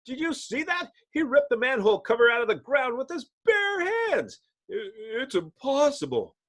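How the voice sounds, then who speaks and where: Guy doing a nervous city policeman, comic book style, worked up, voice raised high. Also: very animated, moderate pace, fairly bright tone, full character voice. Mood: alarmed.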